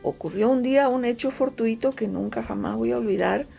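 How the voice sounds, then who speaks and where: Paraguayan lady writer, native guarani speaker, gravelly low-key voice, slow and musing, lots of fillers. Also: warm tone, soft background music. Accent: Paraguayan Spanish. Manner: unhurried, fond of repetition, frequent fillers. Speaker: writer, interviewee. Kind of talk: reflective interview answers.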